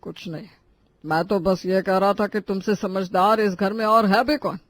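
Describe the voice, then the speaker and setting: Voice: medium pitch, steady tone, normal speed, fairly bright tone, dry recording, close to an everyday voice. An actor voicing a henpecked middle-aged father-in-law in a cartoon family drama, teasing his wife and then backing down. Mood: placating. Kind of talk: animated family drama voice acting in Hindi.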